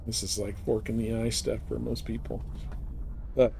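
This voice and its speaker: A man's voice: mid-range voice, little pitch movement, moderate pace, gravelly, mellow, slight background noise. A man giving casual, rambling gameplay commentary as a live streamer, explaining things as he goes.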